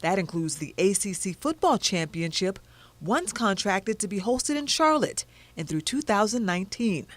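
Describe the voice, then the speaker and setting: A woman's voice: medium pitch, big pitch swings, normal speed, no room sound. A woman giving a scripted live news report as a TV reporter. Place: outside an arena in a city.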